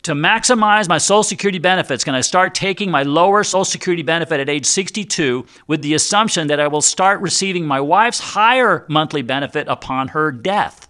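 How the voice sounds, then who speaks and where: A man's voice: fairly high pitch, lively intonation, fairly quick, hardly any pauses, pushed and bright. A man hosting an informal advice show as a financial advisor, chatty and folksy, talking straight to his listeners. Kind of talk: informal radio-style advice show, reading and answering listener questions.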